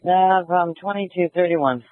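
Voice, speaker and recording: A male police dispatcher with a fairly high voice speaks in short procedural bursts, restating details as he goes. He comes over a narrow, tinny scanner feed that is close and dry, with no room sound. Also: measured pace, no echo. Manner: clipped, procedural, repeats key details. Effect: police radio. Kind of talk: emergency radio dispatch.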